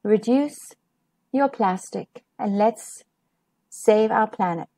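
A woman reading a children's picture book aloud as a storyteller, with expressive delivery that draws out and stresses key words. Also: mid-range voice, slow. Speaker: storyteller, host of a children's read-aloud show.

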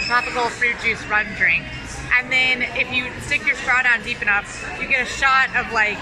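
A woman's voice: on the high side, rough recording. A young woman giving a casual, chatty review as a vlog host in a restaurant. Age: young adult. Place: themed restaurant, indoors. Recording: restaurant chatter and background music.

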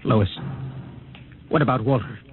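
An actor playing an ardent, lovestruck male outcast in an old-time radio drama, intense and halting. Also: mid-range voice, close to an everyday voice. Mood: yearning. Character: ardent, lowborn male specimen from the cages, lovestruck. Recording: muffled, noisy vintage radio recording.

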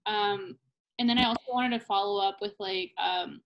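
A young woman hosts an interview over a laptop webcam from a small room at home. She asks friendly, rambling questions full of fillers. Her voice sits at an ordinary middle pitch. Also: steady tone, moderate pace, highs cut off, slight background noise. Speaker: interviewer for language school. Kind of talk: casual interview over video call. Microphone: laptop webcam on video call.

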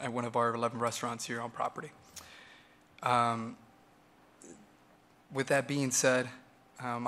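A man's voice: mid-range voice, pushed and bright. A man in his thirties at a panel table in a large hall, giving earnest prepared remarks with many ums. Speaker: restaurant manager, panelist. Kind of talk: prepared personal remarks at a roundtable.

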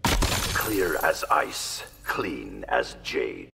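An actor playing a stern, solemn warrior master in a video game, deep-voiced and gravelly. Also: male voice, very animated, drawn-out words, big-room sound, loud music behind the voice, heavily acted. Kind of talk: video game character dialogue.

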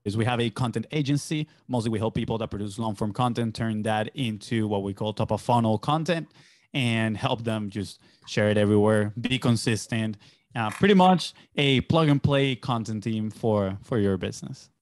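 A man's voice: fairly low pitch, normal speed. A young man from Venezuela, a native Spanish speaker, telling his story in a casual, conversational way as a podcast guest. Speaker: podcast guest, agency entrepreneur. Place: small home studio indoors. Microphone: close boom-arm podcast microphone with headphones.

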